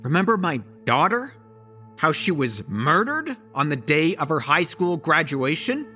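Bitter, forceful grieving father. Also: a little high, sing-song, soft background music, full character voice. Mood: bitter, accusing. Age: middle-aged. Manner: accusatory, rhetorical, drawn-out emphasis. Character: bitter grieving father rousing a town against his enemy. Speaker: actor in a horror audio drama. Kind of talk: dramatic public speech in audio drama.

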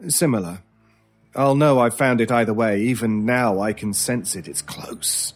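A brooding male stranger played by an actor in an old-time radio horror play. He is a medium-pitched, intense expert on the uncanny, speaking deliberately with dramatic pauses over music. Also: close to an everyday voice. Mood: grave, explanatory. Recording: music under the voice.

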